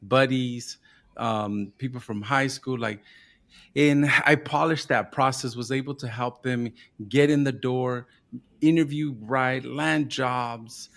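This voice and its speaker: A man's voice: medium pitch, gravelly, fairly bright tone. Casual Southern Californian man, guest. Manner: informal, often adds "right?" and "man". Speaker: podcast guest, marketing founder. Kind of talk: casual interview chat.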